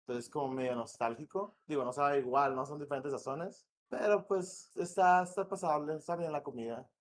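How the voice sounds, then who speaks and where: A man from northwest Mexico with a northern Mexican accent, a game director talking as a podcast guest in a relaxed, slangy, rambling way. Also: fairly high pitch, moderate pace, slight background noise. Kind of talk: casual podcast interview. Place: home in Morelia.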